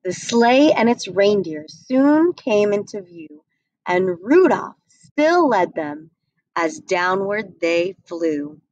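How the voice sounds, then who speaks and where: A young adult woman reading a rhyming picture book aloud at a desk webcam, slow and expressive. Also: medium pitch, dry recording, muffled recording. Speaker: storybook reader. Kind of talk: read-aloud storytelling. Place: small indoor room.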